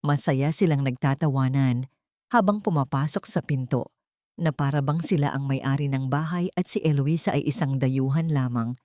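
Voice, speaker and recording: A woman's voice: rumbling low, moderate pace, dark tone, dry recording, studio quality. A woman storyteller reading a scripted, dramatic narration of a love story.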